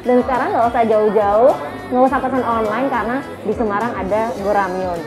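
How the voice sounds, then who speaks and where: A woman with a fairly high voice hosts a casual interview and keeps things chatty and upbeat. She is recorded in a busy restaurant with music playing clearly behind her. The chatter of diners fills the room around her. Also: fairly even intonation, normal speed, low-quality recording. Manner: chatty, upbeat, laughs often. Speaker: talk show host. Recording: loud music and crowd chatter.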